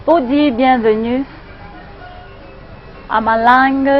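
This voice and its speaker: Slow-talking Cameroonian woman, dull street audio. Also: sits high, fairly even intonation, soft background music. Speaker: street interviewee. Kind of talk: vox pop greeting to camera.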